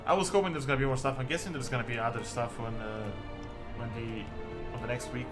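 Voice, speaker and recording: A man from Serbia, chatting casually to viewers as a game streamer in a halting, rambling way peppered with "like". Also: mid-range voice, steady tone. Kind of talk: casual livestream chat with viewers. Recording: music behind the voice. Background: music.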